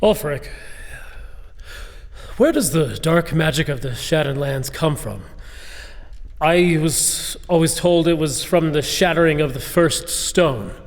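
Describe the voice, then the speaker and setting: An earnest younger man in a fantasy audio drama, played by an actor speaks slowly in a fairly high voice. He is uneasy and questioning, with a long hall reverb around him. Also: animated. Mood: curious. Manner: questioning, hesitant.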